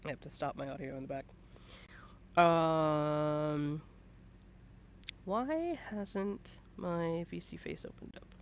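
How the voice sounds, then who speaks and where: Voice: medium pitch, expressive, slow pace, pauses often, slight background noise. A host chatting casually on a livestream, with a playful, teasing, sweary manner. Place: indoors at a computer setup, live online stream.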